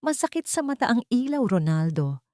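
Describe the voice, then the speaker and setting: A woman's voice: on the high side, sing-song, moderate pace, warm tone, no room sound, pitched above the performer's own voice. A woman voicing a fragile, apologetic wife feigning helplessness in an audiobook drama, sounding flustered. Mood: frail, pained.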